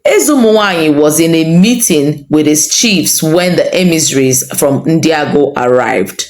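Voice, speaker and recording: A woman with a Nigerian accent narrating a folktale in a measured, dramatic, expressive storytelling style. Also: low voice. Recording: roomy echo under light music. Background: music.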